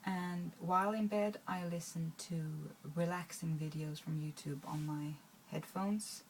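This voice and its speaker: A woman's voice: fairly low pitch, steady tone, unhurried, a little hiss or hum, low-quality recording. A woman, talking to her viewers in a rambling, hesitant way with many fillers and restarts. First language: Swedish. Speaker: vlogger. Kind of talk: casual talk to camera.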